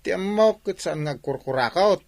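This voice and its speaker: A man's voice: high voice, expressive, normal speed. A man acting in a radio drama as a hardworking villager who teases and chides his friend. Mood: scolding. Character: chiding village friend who praises hard work.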